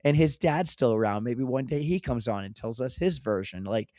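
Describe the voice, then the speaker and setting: Podcast co-host, male, hoarse from cheering, excitable and rambling, dry close sound. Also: mid-range voice, normal speed, no echo. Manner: excitable, laughing, stumbling restarts. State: hoarse, losing his voice. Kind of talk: casual chat.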